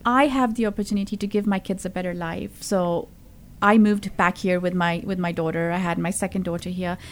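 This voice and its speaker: A woman's voice: lowish voice, fairly even intonation, a little fast. A woman in her thirties from Zambia, a podcast guest telling her story in casual talk, earnest, with many fillers and restarts. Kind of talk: casual conversation.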